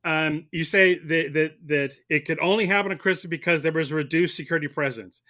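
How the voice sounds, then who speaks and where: A man arguing as prosecutor in a mock courtroom debate, speaking haltingly and repeating words as he makes his points. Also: a little high, sharp tone. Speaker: guest debater playing prosecutor.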